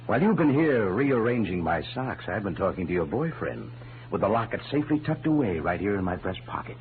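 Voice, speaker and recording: A gloating, suave blackmailer in vintage radio drama. His low voice swings expressively and drips condescension. The sound is narrow and old, like 1940s radio. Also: sing-song, fairly quick, slight background noise. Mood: smug, gloating. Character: smug, suave male blackmailer villain, gloating. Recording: tinny, humming 1940s radio recording.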